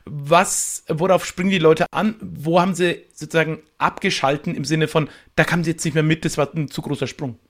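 Man, fairly high voice, casual podcast guest, thoughtful explainer, winding sentences. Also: steady tone, fairly quick, few pauses. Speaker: podcast guest, improv stage performer.

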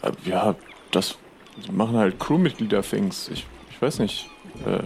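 A man's voice: lowish voice, expressive, a little slow, gravelly, darkish tone, slight background noise. A man playing a naive, easygoing pirate deckhand in an improvised audio adventure, talking in a hesitant, rambling slacker way. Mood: unsure. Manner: hesitant, rambling, laid-back slacker talk.

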